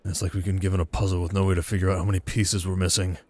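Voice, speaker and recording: Man acting a low-voiced, guarded merchant baron. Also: level tone, rapid-fire, noisy background, natural voice. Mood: exasperated, sardonic. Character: shrewd, wary merchant baron, secretly ruthless husband. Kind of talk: ASMR audio roleplay acting.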